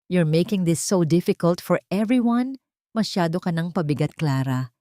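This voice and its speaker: A woman's voice: on the low side, expressive, moderate pace, warm tone, dry recording, clean studio sound, natural voice. A woman with a Filipino accent voicing a cold, scornful, impatient husband in a dramatic story, curt and commanding.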